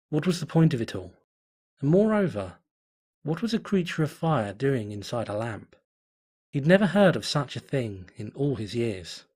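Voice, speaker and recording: A man reading a fan story aloud as narrator, in a steady, measured way. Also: medium pitch, breathy edge. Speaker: fanfiction reader.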